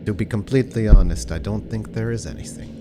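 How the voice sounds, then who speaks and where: A man playing a cunning, composed vampire mentor in a tabletop game, speaking in a measured, formal way. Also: lowish voice, over music, lightly acted. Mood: calm candor.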